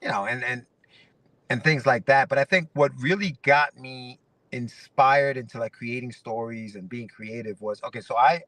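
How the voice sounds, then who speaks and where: A man in his forties from Ecuador talks casually as a guest, circling back over his words with restarts and fillers. His voice is bright and forward, caught in a dry space from some way off the mic. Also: mid-range voice, moderate pace, dry recording, far from the mic, rough recording. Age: 40s. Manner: rambling, many restarts and fillers. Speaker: guest comic writer. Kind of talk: casual interview chat.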